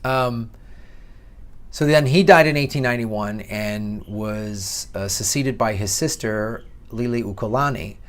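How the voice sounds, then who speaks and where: A man's voice: on the low side, unhurried. A man with a Midwestern accent giving a casual, sarcastic commentary on history as he reads from an article. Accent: Midwestern American. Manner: chatty, sarcastic asides with laughs. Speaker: comedian and political commentator. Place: outdoors in Hawaii.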